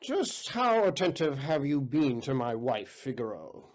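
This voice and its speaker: A man's voice: medium pitch, sing-song, measured pace, darkish tone. A man acting a jealous, imperious count in a comic period play, haughty and theatrical. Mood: suspicious. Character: jealous, imperious count, suspicious of his servant.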